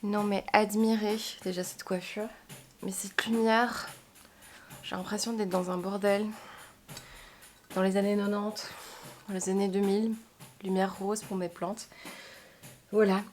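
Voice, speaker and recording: A woman's voice: mid-range voice, flat delivery, a little slow, pauses often, a little mellow, a little hiss or hum. A woman book vlogger from Belgium chatting casually to camera in a self-deprecating way.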